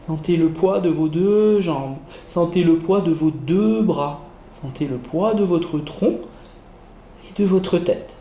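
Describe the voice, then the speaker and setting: Slow, mellow man guiding relaxation. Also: on the high side, a little hiss or hum. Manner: soothing, measured instructions with pauses. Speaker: yoga teacher. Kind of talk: guided relaxation meditation. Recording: roomy echo with music behind. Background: relaxation music.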